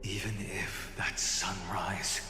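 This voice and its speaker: A man playing a solemn, resolute young fantasy hero in a video game, speaking in a grave, measured way. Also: sits low, little pitch movement, background noise. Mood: defiant resolve. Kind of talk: dramatic video game voice acting. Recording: reverberant hall effect over music.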